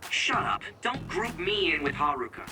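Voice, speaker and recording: An actor voicing a prickly, curt young tech-minded squad member in an English-dubbed anime game. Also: male voice, on the high side, big pitch swings, moderate pace, recorded from a distance, over loud music. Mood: irritated.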